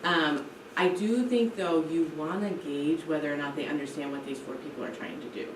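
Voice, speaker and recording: A woman's voice: sits low, moderate pace. An American woman giving coaching feedback as a teacher educator, often checking in with "right?". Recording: roomy, distant mic, clear background noise.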